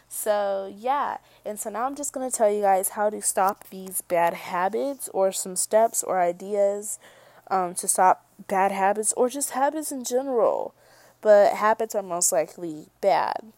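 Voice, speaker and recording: A young woman in a casual monologue to camera, soft-spoken and prone to mumbling, rambling with lots of "like" and restarts. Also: mid-range voice, measured pace, dry recording. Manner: rambling, full of likes and restarts. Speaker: vlogger.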